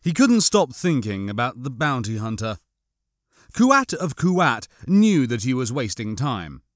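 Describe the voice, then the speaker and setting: Guy reading sci-fi audiobook, medium pitch, expressive storytelling, no room echo. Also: unhurried, clean studio sound. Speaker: audiobook narrator. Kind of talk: expressive scripted fiction narration.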